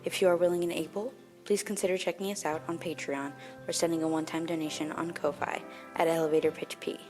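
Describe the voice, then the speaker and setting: A woman's voice: low voice, fairly even intonation, quick pace. A woman reading scripted podcast credits as an announcer. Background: loud-enough music bed.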